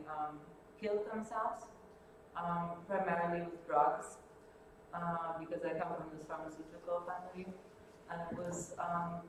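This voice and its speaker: Woman lecturing in a booming hall. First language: Greek. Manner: halting, with many um fillers. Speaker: guest lecturer. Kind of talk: university colloquium talk. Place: large university lecture hall, indoors. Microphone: distant room camera. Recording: echoey hall, far from mic, noisy.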